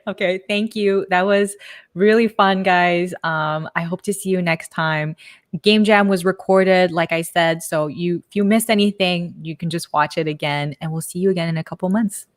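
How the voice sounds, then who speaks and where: A woman's voice: on the low side, fairly even intonation. A young woman from Canada hosting a casual livestream from home over a headset, upbeat and chatty. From Canada, Montreal. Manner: upbeat, chatty, quick bursts. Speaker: livestream host. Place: home room.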